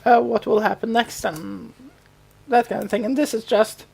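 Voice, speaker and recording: A woman's voice: on the high side, steady tone, normal speed, gravelly, darkish tone, breathy edge. A woman co-hosting a podcast, casually recapping a TV show in loose, hesitant phrases. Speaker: podcast co-host.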